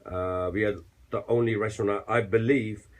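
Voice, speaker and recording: Low-voiced man explaining dishes in a studio. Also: moderate pace. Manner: relaxed, explanatory with many fillers. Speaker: restaurant chef guest. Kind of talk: studio interview. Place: radio studio.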